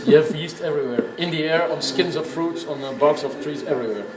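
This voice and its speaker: A man's voice: a little high, fairly quick, crowd noise. A man working as a brewery tour guide, explaining things informally in an emphatic, opinionated, didactic way. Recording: echoey room, clear background noise.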